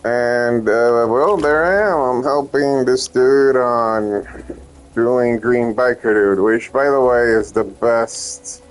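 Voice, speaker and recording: Slow, rambling man from Puerto Rico. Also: medium pitch, low-quality recording. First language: Spanish. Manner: rambling, self-interrupting, joking. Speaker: ROM hack creator giving updates. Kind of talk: casual rambling vlog update.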